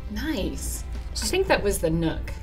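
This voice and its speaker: A woman's voice: mid-range voice, big pitch swings, slow, a little mellow. Woman on a podcast making dry little quips, faint music in the back. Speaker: podcast co-host. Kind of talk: casual podcast chat.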